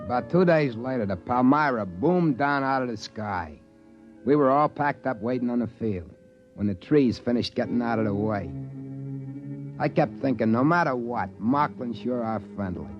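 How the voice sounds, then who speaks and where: A man's voice: medium pitch, expressive, normal speed, close to an everyday voice. A man playing a folksy, plain-spoken sidekick who tells the tale in a 1950s radio drama. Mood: wry narrating. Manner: plain-spoken, ungrammatical colloquial. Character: folksy, easygoing trading-post sidekick narrating his tale. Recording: dull 1950s radio recording, music behind.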